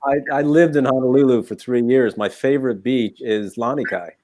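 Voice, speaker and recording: A man's voice: medium pitch, steady tone, normal speed, darkish tone, no echo. Enthusiastic male attorney guest. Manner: enthusiastic, storytelling. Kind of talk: casual radio chat.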